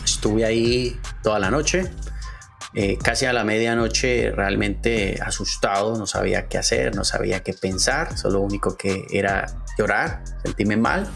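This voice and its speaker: A Colombian man from Cali, in his late thirties, hosting a podcast and earnestly telling his own life story in a halting way with many pauses. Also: on the low side, creaky, over music. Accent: Colombian Spanish from Cali. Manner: earnest, halting, with frequent "eh" fillers and slow deliberate stretches. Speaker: podcast host. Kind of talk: personal storytelling monologue.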